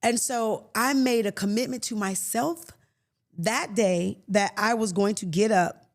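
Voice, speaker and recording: A woman in her late fifties hosting a faith podcast, talking earnestly and conversationally with soft laughs and restarts. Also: mid-range voice, unhurried. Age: 50s. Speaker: podcast host. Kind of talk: reflective faith podcast monologue.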